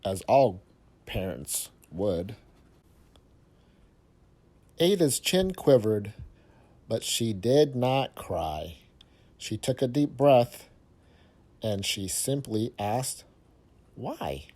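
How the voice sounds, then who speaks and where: A man reading a rhyming picture book aloud to young children, with expressive, measured phrasing and emphatic pauses. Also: lowish voice.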